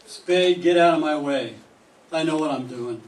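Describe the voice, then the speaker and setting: An older man playing a sullen, hard-drinking young male fisherman in a 1940s-style radio drama, gruff and defensive. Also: fairly high pitch, a little slow, close to an everyday voice. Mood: desperate. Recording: roomy classroom from a distant mic, faint hiss.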